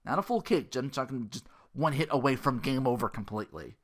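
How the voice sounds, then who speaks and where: Animated man hosting a gaming podcast. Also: mid-range voice, fairly quick. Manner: rambling, animated asides, acting out little quotes. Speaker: podcast host. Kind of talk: casual gaming podcast commentary.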